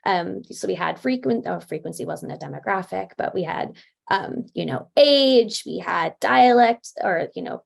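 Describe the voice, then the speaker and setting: A woman's voice: fairly low pitch, animated, moderate pace, creaky. A woman presenting research at an academic conference in a casual way, with many fillers and self-corrections.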